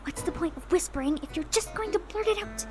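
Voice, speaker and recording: A woman's voice: very high voice, fast talker, darkish tone. A woman playing a teasing, easily exasperated young girl in a voiced visual novel. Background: game music.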